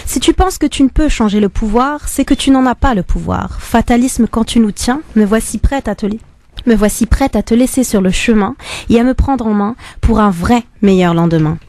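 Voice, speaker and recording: A woman poet on a radio panel, earnest and measured. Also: on the high side, mellow. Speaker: poet guest. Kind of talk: reciting a poem, then chat.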